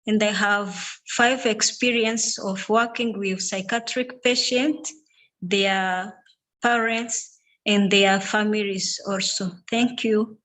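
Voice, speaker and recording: A woman's voice: mid-range voice, steady tone, takes it slowly, a bit bright, low-quality recording. A woman from Rwanda, a psychiatric social worker, introducing herself carefully and formally. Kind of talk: self-introduction on a panel.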